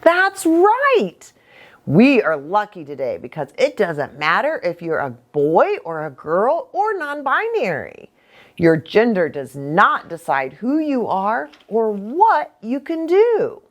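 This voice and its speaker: Animated American woman preacher teaching children. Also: medium pitch, takes it slowly. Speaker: preacher and children's story host. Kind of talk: children's lesson talk, explaining simply.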